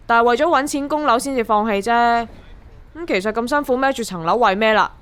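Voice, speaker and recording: A woman's voice: on the high side, quick pace, fairly bright tone, close to an everyday voice. An actress with a Hong Kong Cantonese accent playing an earnest young woman fed up with her mortgage struggle in a radio drama. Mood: resolute.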